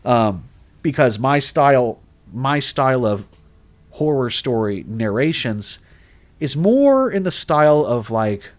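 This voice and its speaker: A man who narrates horror stories, chatting casually on a livestream, rambling and animated, with lots of restarts. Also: mid-range voice, slow pace. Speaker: horror story narrator and streamer. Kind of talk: casual livestream chat reading comments.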